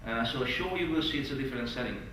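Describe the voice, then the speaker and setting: A man's voice: mid-range voice, very fast. A man with a Hungarian accent, whose first language is Hungarian, teaches a class with earnest, eager emphasis and a mid-range voice. He sounds far from the microphone, and the big hotel room rings with heavy echo. Faint room noise sits underneath. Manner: earnest, non-native phrasing, lively emphasis. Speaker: stage hypnosis instructor. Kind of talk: teaching a course to a group. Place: large hotel meeting room. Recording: echoey hall recorded from far off.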